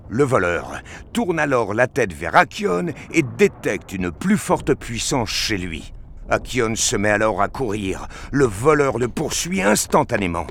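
A man's voice: medium pitch, sing-song, unhurried, creaky, a bit bright, slight background noise. A man giving a dramatic scripted narration of a science fiction action story.

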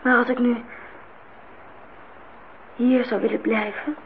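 A woman's voice: a little high, little pitch movement, slow, gravelly. An actress playing a naive, lovestruck young woman in a radio drama, speaking in short, plain, plaintive replies. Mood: puzzled, pleading. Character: naive, lovestruck nineteen-year-old young woman, quietly stubborn. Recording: thin phone-like sound, noisy old radio recording.

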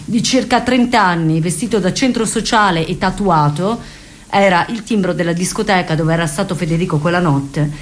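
A woman's voice: fairly low pitch, normal speed. A woman narrating a scripted documentary play for radio as one of its actors.

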